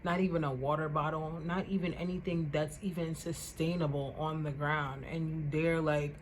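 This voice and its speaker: A young woman vlogger with a loud voice, ranting casually to the camera in an animated, indignant way and repeating words for emphasis. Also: deep voice, normal speed, slight background noise.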